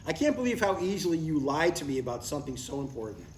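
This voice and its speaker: Older guy acting as blunt churchy dad, lively highish voice, comedy read, echoey and off mic. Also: fast talker. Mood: stern, blunt. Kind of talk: live comedy table read. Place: live reading in a room. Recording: roomy, distant live recording with faint noise.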